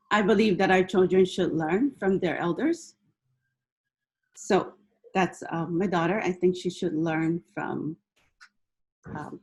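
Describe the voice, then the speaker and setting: A woman's voice: medium pitch, a little mellow, rough recording. A woman whose first language is Hawaiian, from Niihau, presenting slides to a webinar audience in a loose, hesitant way with frequent fillers. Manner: hesitant, many ums and restarts. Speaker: presenter, teacher educator. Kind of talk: casual presentation talk.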